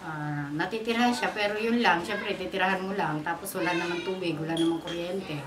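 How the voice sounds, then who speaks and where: A Filipino woman giving informal testimony as a resident, complaining in a halting, repetitive way. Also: lowish voice. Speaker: relocation site resident being interviewed. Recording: echoey, distant mic, very noisy surroundings. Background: loud ambient noise.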